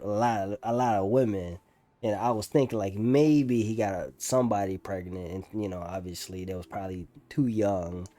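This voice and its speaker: A man's voice: on the low side, darkish tone, dry recording. A young man giving casual, slangy live reaction commentary as a gaming streamer. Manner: slangy, repetitive, incredulous exclamations.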